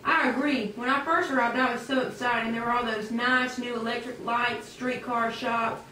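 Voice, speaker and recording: A woman's voice: a little high, steady tone, moderate pace, sharp tone. A woman voicing a talkative working man new to the city, in an amateur puppet show. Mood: disillusioned. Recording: echoey room, distant mic, faint noise.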